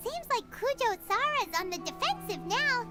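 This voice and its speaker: A woman's voice: very high-pitched, sing-song, moderate pace. An actress voicing a small, childlike, chirpy female fairy companion in a video game. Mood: pleased, chirpy. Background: prominent background music.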